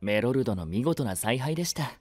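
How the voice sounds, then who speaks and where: A man's voice: medium pitch, animated, fairly quick, a little mellow, slightly rough, dry recording, studio quality. An actor playing a courteous, earnest male knight in an anime-style voice drama, formal and polite in his speech. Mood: flustered, respectful.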